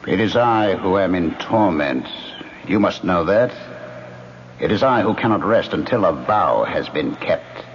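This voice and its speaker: A man's voice: low voice, lively intonation, a little slow, slight background noise. An actor playing the vengeful male ghost of a dead husband, solemn and brooding, in an old radio drama. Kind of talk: 1970s radio drama acting. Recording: dull old radio broadcast.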